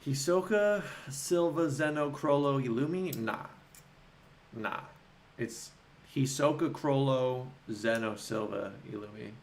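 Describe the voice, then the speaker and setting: A man hosting a casual livestream, talking informally and haltingly as he thinks aloud and answers chat. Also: medium pitch.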